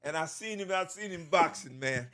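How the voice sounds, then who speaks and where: Loud, lively older host, high bright voice. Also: male voice, normal speed. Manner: loud, laughing, animated, mimes punches. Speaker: talk show host. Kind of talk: casual trash-talking chat.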